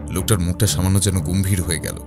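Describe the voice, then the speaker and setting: A man playing a composed detective who recounts his investigation in a measured, explanatory first-person narration. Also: sits low, steady tone, close to an everyday voice. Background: background music.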